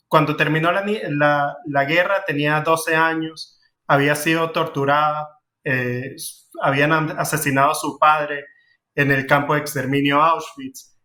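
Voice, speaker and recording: Venezuelan man, talk-show guest, earnest and halting, slightly high, bright voice. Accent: Venezuelan Spanish. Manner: earnest, hesitant with fillers and restarts. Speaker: community director.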